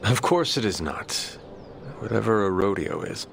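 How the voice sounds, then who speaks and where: A low, solemn warrior hero. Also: male voice, big pitch swings, creaky, slight background noise. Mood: formal, curious. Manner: formal, measured phrasing without contractions. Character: solemn, formal ancient warrior hero, earnest and courteous. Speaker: video game voice actor. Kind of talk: scripted game dialogue. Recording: music behind the voice.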